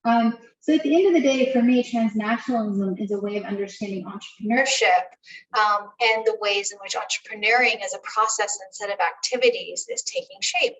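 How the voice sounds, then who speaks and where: A middle-aged woman from Turkey who works as a professor, giving a measured academic talk in a webinar with frequent "um" fillers. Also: mid-range voice, lively intonation, recorded from a distance, poor audio.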